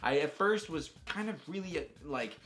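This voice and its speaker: A man's voice: on the high side, animated, moderate pace, a bit bright. A man giving casual, unscripted video game commentary as a host, talking enthusiastically with slang and emphatic exclamations.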